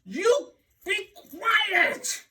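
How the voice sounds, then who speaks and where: A man voicing an excitable, vain and anxious storybook toad, exclaiming and pleading in drawn-out cries. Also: extremely high pitch, recorded from a distance, voice pushed up, full character voice. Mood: panicked, pleading. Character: excitable, vain, anxious male toad, showing off then panicking.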